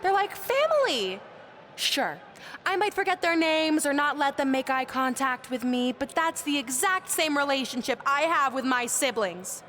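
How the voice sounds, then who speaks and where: A woman's voice: high voice, animated, moderate pace, fairly bright tone. A woman playing a haughty MMA fighter and Hollywood star in a wrestling game, condescending and self-important, with noise behind her. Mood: smug. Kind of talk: wrestling video game promo acting. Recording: clear background noise.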